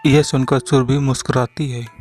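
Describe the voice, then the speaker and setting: A man's voice: mid-range voice, level tone, normal speed, a little mellow, faint music behind. A man giving scripted storybook narration for a cartoon.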